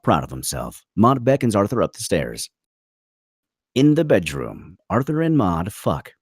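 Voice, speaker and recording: Low male narrator, animated intonation, dry close sound, dramatic screenplay reading, stage directions. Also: dry recording. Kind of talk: dramatic scripted narration at a table read.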